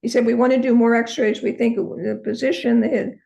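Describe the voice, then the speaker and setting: An older woman with a hoarse voice, telling her personal story as an interview guest. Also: fairly high pitch, steady tone, normal speed, mellow. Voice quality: hoarse, from a vocal cord injury. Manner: earnest storytelling, many ums. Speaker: interview guest, holistic practitioner.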